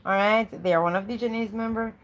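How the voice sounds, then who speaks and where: A woman with a medium-pitched voice presents as co-host of a live read-aloud. She addresses viewers directly in a chatty, welcoming style, often repeating her linking phrases. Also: fairly even intonation, fast, lo-fi sound, a crowd in the background.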